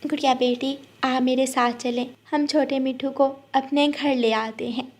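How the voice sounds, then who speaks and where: A woman's voice: high voice, steady tone, measured pace. A woman voicing a kind, protective, worried mother sparrow in a cartoon, with a soothing, slightly hesitant manner. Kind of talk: cartoon character acting.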